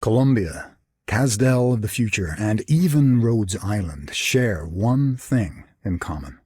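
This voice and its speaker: World-weary old game gent, super husky and mellow, talks slow. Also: male voice, on the low side, animated, no room sound, clean studio sound, full character voice. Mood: grave, resolute. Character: grave, world-weary older gentleman, philosophical. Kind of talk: video game character voice lines.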